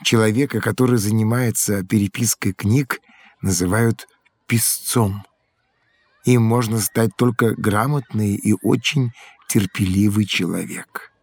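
A village teacher from olden times, folksy storyteller way of talking, explains stuff, audio drama. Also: male voice, fairly low pitch, measured pace, darkish tone. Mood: instructive. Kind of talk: educational audio drama acting.

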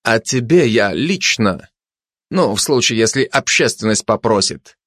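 A man's voice: lowish voice, lively intonation, a bit bright, dry recording, clean studio sound. Man as a haughty, menacing tough dog. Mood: contemptuous threat. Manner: haughty, sneering, drawn-out threats and pompous declarations.

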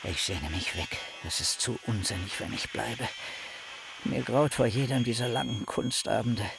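An actor playing a sickly, sardonic scholar in a German radio drama, speaking in an ornate, pompous, theatrical way. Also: male voice, mid-range voice, normal speed, gravelly, background noise, close to an everyday voice. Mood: weary, despairing. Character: sickly, sardonic male scholar complaining with grandiose eloquence.

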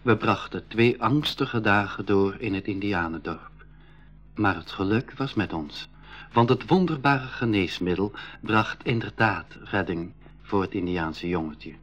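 A man playing a curious young boy adventurer in a school radio drama, with a slightly husky voice. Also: fairly low pitch, a little slow, fairly bright tone, highs cut off, faint music behind. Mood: reflective narrating. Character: Piet, curious young boy adventurer who also narrates. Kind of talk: 1980s school radio drama acting and narration.

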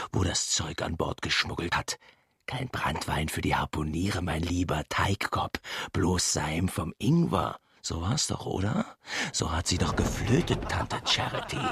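A very husky, gravelly ship's mate. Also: male voice, fairly low pitch, a bit bright, no echo, clean studio sound, heavily acted. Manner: mocking, accusing, theatrical, lapsing into hissed whispers. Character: gruff, suspicious, bullying whaling ship's mate. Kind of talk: radio drama acting.

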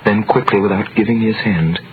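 A man's voice: on the low side, fast talker, narrow, tinny audio, natural voice. A man playing an earnest young sailor in solemn, theatrical 1930s radio drama style. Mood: intense, brooding. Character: earnest young sailor, nineteen, recounting his own story. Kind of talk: 1930s radio acting, dramatic first-person narration. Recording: thin noisy vintage radio recording.

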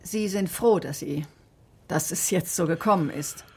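A woman's voice: lowish voice, darkish tone, slightly rough. An actress playing a composed, probing woman in a naturalistic radio drama, speaking politely and persistently. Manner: polite, measured, persistent questioning. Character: composed, probing woman questioning an old man.

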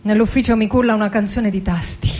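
An actress playing a middle-aged Argentine woman poet in a theatrical radio monologue, reciting lyrically and deliberately with dramatic pauses, melancholy, wry and passionate. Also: mid-range voice, fairly even intonation, darkish tone, natural voice. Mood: bleak, lyrical.

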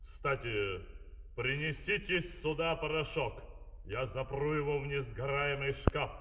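An actor playing an imperious, menacing dictator in a comic fairy-tale musical audio play, pompous and commanding. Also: male voice, mid-range voice, noisy background, natural voice. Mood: pompous, resolute. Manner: pompous, commanding, slow emphatic delivery. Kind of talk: theatrical Soviet musical audio play acting. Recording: vintage vinyl record, long hall reverb.

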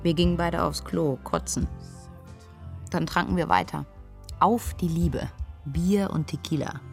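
An actress playing a young student in a radio play, recalling a drunken night of grief in a candid, dry, conversational way. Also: female voice, on the low side, unhurried, creaky, faint music behind. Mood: wry, candid. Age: young adult. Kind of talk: interview-like monologue in a radio play.

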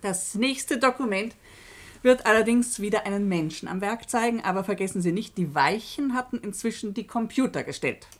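An actress playing a composed, authoritative female doctor in a radio play, speaking deliberately. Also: mid-range voice, expressive, natural voice. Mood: composed, insistent. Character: composed, commanding female doctor leading a hearing of documents. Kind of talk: German radio play acting.

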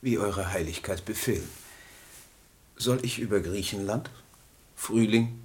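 A deranged aging poet in a radio drama. He speaks very slowly in a lowish voice, with servile, ceremonious formality. His solemn delivery halts between phrases. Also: a little mellow, husky. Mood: obedient, formal. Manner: obsequiously formal, halting, solemn. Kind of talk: German radio play acting.

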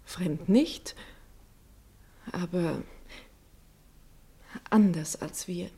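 A woman playing a shy, gentle nurse in a radio play, speaking softly and hesitantly. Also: on the low side, extremely slow, husky, heavily acted. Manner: soft, hesitant, often hushed. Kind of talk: 1950s radio play acting.

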